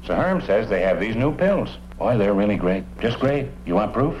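An actor playing an earnest, folksy police detective sidekick in a radio drama, rambling eagerly. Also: male voice, on the low side, animated, normal speed, a little mellow, natural voice. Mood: chatty, eager. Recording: muffled, noisy old radio recording.

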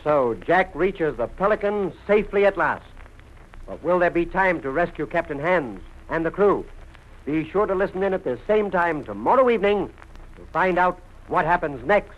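A man's voice: high voice, animated, moderate pace. A man announcing the close of a radio serial in scripted 1940s style. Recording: dull old radio recording.

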